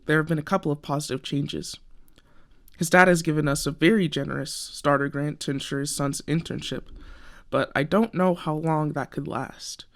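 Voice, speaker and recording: Man acting high-voiced, halting scientist. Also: gravelly. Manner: halting, thinking aloud, self-interrupting. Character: earnest rambling research scientist and parent recording a log. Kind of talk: radio play audio log monologue.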